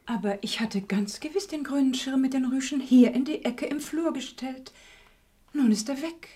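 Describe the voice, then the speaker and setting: A woman acting a fussy, querulous aunt in a radio play, with a breathy voice. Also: high voice, normal speed, mellow. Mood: fussy, fretful. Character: fussy visiting aunt, querulous about her mislaid umbrella. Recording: distant from the microphone.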